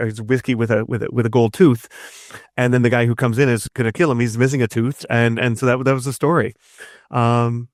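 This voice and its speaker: A man chatting casually as an interview guest, enthusiastic and rambling, often repeating words in quick bursts. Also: mid-range voice, no echo, studio quality. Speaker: interview guest, narrative designer and writer.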